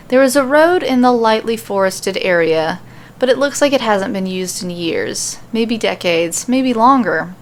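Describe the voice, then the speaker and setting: Female podcast host and story reader, creaky mid-pitched voice, informal, self-deprecating, faint noise on the recording. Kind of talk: casual podcast intro and fan fiction narration.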